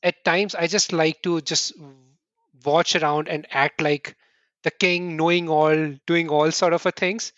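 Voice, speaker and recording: A middle-aged man from India, answering interview questions earnestly in quick bursts and often restarting with "right?". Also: a little high, fairly even intonation, fairly bright tone, clean tone, dry recording, dull audio. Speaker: podcast guest.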